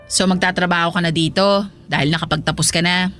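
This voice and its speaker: An actress playing a resentful, sarcastic older sister-in-law in a Filipino radio drama, with a snide, cutting manner. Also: female voice, fairly low pitch, fairly even intonation, quick pace, fairly bright tone, soft background music, natural voice.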